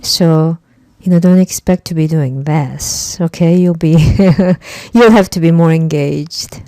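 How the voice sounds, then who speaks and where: A woman's voice: deep voice, slow, mellow, no echo. A woman whose first language is Korean, giving a conversational online class lecture as an instructor and stressing points by repeating words.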